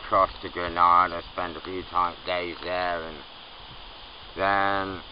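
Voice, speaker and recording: A man's voice: low-pitched, flat delivery, takes it slowly. A middle-aged man who grew up in Scotland, telling his story in a rambling, self-correcting way, sitting outdoors. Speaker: interviewee. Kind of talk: casual storytelling. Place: outdoors under trees in a camp. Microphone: far camera. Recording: muffled distant outdoor recording with noise.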